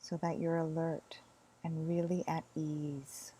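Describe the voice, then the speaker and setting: A woman leading a guided meditation as a teacher, speaking gently and soothingly in soft phrases with long pauses. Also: low-pitched, flat delivery, breathy edge.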